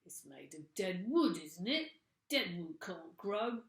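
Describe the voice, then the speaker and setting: A woman voicing a scheming, nasty old husband who slowly and loudly taunts his wife, in an echoey room. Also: fairly low pitch, very animated. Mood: gloating. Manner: slow, gloating, loud taunting.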